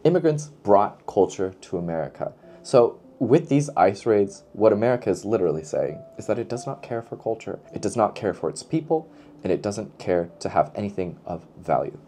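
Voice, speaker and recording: A man with a lowish, very mellow voice chats casually to camera like a book vlogger. He is playful and emphatic, repeating phrases to drive them home. There is clear background noise behind him. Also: expressive, moderate pace.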